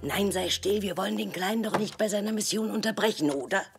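A woman voicing a kindly, gentle old grandmother in a children's cartoon. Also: mid-range voice, quick pace, close to an everyday voice. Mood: hushing. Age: older. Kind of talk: animated children's cartoon acting. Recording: music behind the voice.

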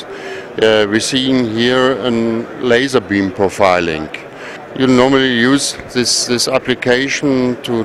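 A man presents products at a trade show in a halting, explanatory manner. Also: mid-range voice. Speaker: company representative presenting products. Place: large indoor trade fair hall. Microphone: handheld microphone at chest height. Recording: busy exhibition hall crowd noise. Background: crowd murmur.

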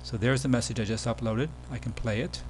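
A man giving a casual, step-by-step software tutorial as the presenter of a screen demo. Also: mid-range voice, steady tone, brisk, low-quality recording. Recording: background hum and noise.

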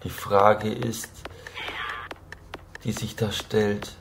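A man's voice: fairly low pitch, level tone, extremely slow, vocal fry, noisy background. A man narrating a scripted, dramatic monologue and story as a storyteller. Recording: loud music over the voice.

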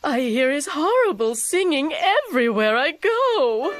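A woman playing a haughty, dramatic magistrate's wife in a children's cartoon, grand and drawn-out in delivery. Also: sits high, sing-song, music in the background. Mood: guilty, dramatic. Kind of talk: animated children's cartoon acting.

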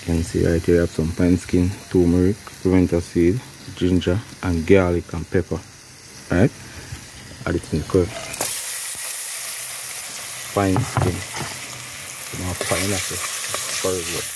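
A man's voice: sits low, level tone, unhurried, pauses often. A man with a Jamaican accent casually narrating his cooking as host, often repeating phrases and tags. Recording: clear background noise, pot sizzling and clatter.

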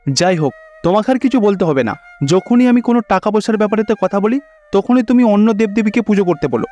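A man's voice: high-pitched, quick pace, warm tone, dull audio, natural voice. A man voicing a poor, pious middle-aged husband in a cartoon story, plaintive and pleading as he longs for a pilgrimage. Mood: grumbling, wistful. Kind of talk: Bengali cartoon story dubbing.

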